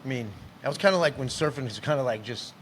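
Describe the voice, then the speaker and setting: Fast-talking co-host. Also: male voice, medium pitch, lively intonation, a little hiss or hum. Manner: quick, chatty, lots of fillers and "y'all". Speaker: podcast co-host. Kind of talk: casual podcast chat.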